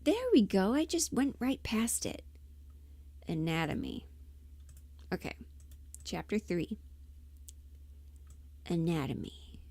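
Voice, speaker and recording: A woman's voice: lowish voice, very animated, takes it slowly, long pauses, darkish tone, no room sound. A woman chatting casually on a livestream, scattered and giggly, thinking aloud as she goes, close to the mic. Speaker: livestream host and audio performer.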